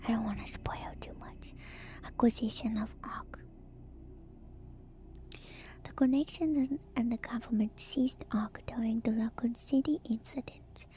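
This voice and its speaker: A woman streamer speaking in a soft, breathy ASMR whisper, reading aloud and adding casual, musing comments. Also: fairly high pitch. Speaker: streamer and host. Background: music.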